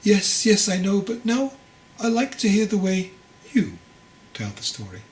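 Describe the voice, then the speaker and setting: A man voicing a wise, patient old monk master, teasing and instructive, in a measured delivery for a storybook. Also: sits high, wildly swooping pitch, voice pushed up, heavily acted. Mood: jovial, insistent. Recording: clearly noisy recording.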